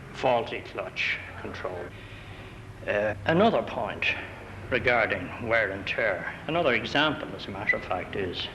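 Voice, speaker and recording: An Irish man lecturing trainees as a mechanics instructor, speaking deliberately in short broken phrases, on a 1960s film recording. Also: mid-range voice. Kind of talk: explanatory classroom lecture. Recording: muffled, noisy 1960s film sound.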